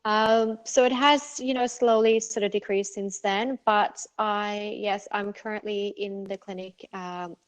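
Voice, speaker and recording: A woman's voice: medium pitch, rough recording. A middle-aged woman from Norway with a faded Norwegian accent, a clinic owner answering interview questions in a chatty way, with many fillers and restarts. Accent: Norwegian, partly faded.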